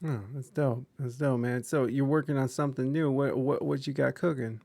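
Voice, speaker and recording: A man's voice: mid-range voice, steady tone, moderate pace, creaky, dark tone, no room sound. A young man hosting a casual podcast, talking loosely, swearing freely and getting emphatic. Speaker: podcast host.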